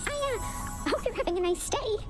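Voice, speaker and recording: A woman's voice: very high voice, expressive, normal speed, over loud music. A woman voicing a meek, hesitant, deferential servant in a video game. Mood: timid, hurt. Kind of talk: video game character acting.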